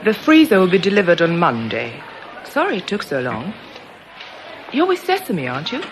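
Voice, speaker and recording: An actress playing a helpful, talkative shop assistant in a radio drama. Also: female voice, lowish voice, wildly swooping pitch, moderate pace, noisy background. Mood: brisk, friendly. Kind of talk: British radio drama acting.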